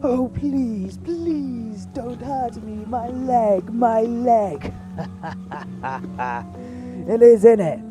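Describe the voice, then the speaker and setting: A man's voice: very high-pitched, lively intonation, drawn-out words, pauses often, full character voice. Man playing a sneering, swooping bully of an officer. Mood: mocking. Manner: mocking, menacing, drawn out. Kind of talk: audio drama acting. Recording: loud music over the voice.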